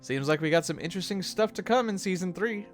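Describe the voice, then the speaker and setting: A video host giving casual, expressive conversational commentary. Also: medium pitch, fast talker, faint music behind.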